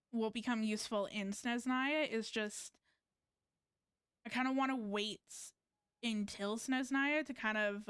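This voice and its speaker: Chatty young woman streamer, clear voice. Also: on the high side, a bit bright, dry recording, studio quality. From Canada. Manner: rambling, hesitant, many fillers and restarts. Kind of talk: casual gameplay chat with viewers.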